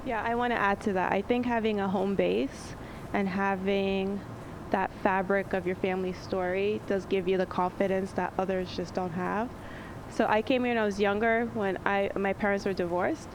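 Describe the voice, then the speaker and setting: A woman's voice: medium pitch, steady tone, moderate pace. A woman from Congo speaking confidently and playfully as a panel guest. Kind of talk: casual panel chat. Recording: noisy room.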